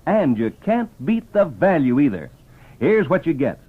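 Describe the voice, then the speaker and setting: A man announcing and pitching to children in 1950s radio style, with an emphatic, enthusiastic salesman delivery. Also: sing-song, unhurried. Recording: muffled old radio transcription.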